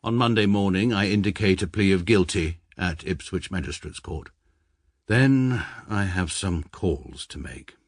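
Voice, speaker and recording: An actor playing a formal, deadpan British barrister who narrates in a radio drama. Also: male voice, low-pitched, measured pace, exaggerated voice. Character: stiff, precise English barrister narrator, middle-aged man.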